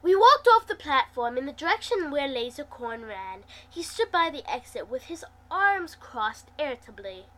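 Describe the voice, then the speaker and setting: A woman reading fan fiction aloud in an expressive, dramatic manner, acting out the dialogue as she goes. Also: high-pitched, moderate pace, few pauses. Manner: animated, dramatic delivery. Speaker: amateur fan fiction reader, host.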